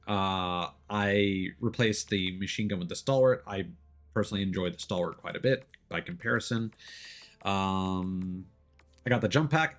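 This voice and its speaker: A man streaming a video game, giving casual, rambling, informal commentary as he explains how it works. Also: lowish voice. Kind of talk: casual let's-play commentary.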